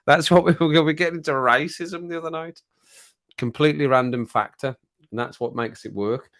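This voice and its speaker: A man hosting a casual live stream chat, rambling and laughing often, his voice a little croaky after a cold. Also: a little high, brisk, fairly bright tone, dry recording, clean studio sound.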